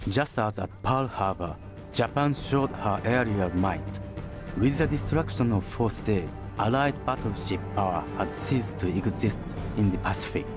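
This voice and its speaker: Low, solemn imperial war narrator. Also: male voice, animated, a little slow. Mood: proud, formal. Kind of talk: scripted game briefing narration. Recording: loud music over the voice. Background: loud music.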